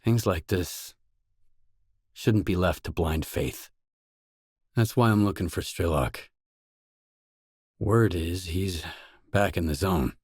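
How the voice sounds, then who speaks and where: A man's voice: sits low, slow, pauses often, a little mellow, breathy edge, dry recording, studio quality, full character voice. A man playing a guarded, determined post-apocalyptic stalker in a video game, speaking in a terse, probing, matter-of-fact way. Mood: serious, intent. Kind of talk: isolated video game dialogue lines.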